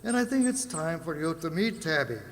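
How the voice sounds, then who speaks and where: A man's voice: fairly high pitch, lively intonation, a little fast. A man playing a jovial merchant sea captain and doting father in a children's radio play, exclamatory and genial. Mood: genial, confident. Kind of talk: old-time children's radio play acting. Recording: reverberant hall with clear background noise.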